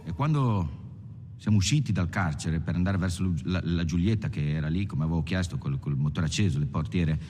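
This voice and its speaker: An actor playing a weary, aggrieved bank robber who tells his story haltingly in a film monologue. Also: low-pitched, dark tone, a little hiss or hum, close to an everyday voice. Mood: weary, bitter. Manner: halting, repeats words. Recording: long echoing reverb, faint music.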